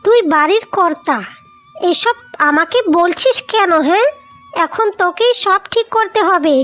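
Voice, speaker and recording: A woman's voice: very high voice, expressive, a little slow, fairly bright tone, soft background music, full character voice. A woman playing a firm, chiding older village mother in a cartoon story. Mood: reproachful. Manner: chiding, advising. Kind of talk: Bengali cartoon story acting.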